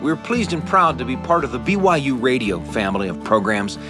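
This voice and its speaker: A man's voice: medium pitch, animated, a little fast. A man with an American accent, a radio host reading a scripted show sign-off over music. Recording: music under the voice.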